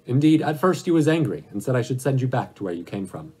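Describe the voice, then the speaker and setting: A man's voice: mid-range voice, sing-song, quick pace, mellow, slightly rough, pitched above the performer's own voice. A man playing a talkative palace soldier, reporting in an emphatic, explanatory manner. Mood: eager reporting.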